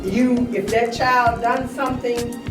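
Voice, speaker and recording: A woman's voice: fairly high pitch, fairly even intonation, takes it slowly. A woman in her fifties from rural Mississippi telling personal stories in a group discussion, repeating phrases for emphasis. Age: 50s. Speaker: discussion participant. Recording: echoey room, distant mic, music.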